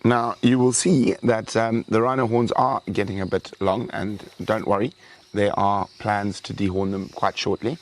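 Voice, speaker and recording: A man's voice: lowish voice, moderate pace. A young man hosting a live wildlife stream, chatting casually and saying he is losing his voice. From South Africa. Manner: chatty, reads out viewer thanks, breaks into excited exclamations. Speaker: wildlife show host.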